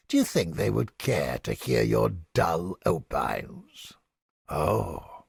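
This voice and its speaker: A man playing a cynical, sardonic, smugly godless inquisitor scholar in a video game, with a gravelly, husky voice and deliberate, formal, theatrical delivery. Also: low voice, no echo, studio quality, heavily acted. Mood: wry. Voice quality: gravelly, husky, breathy edge.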